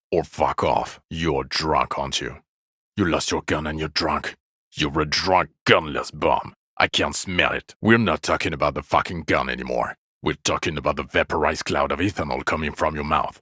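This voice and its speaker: An actor playing an exasperated, foul-mouthed police partner in a video game, world-weary, sarcastic and contemptuous in a gravelly voice. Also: male voice, pushed and bright, no room sound, clean studio sound, heavily acted. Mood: angry, accusing. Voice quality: gravelly, low. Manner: sardonic, weary, contemptuous, deliberate scolding. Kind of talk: video game character voice acting.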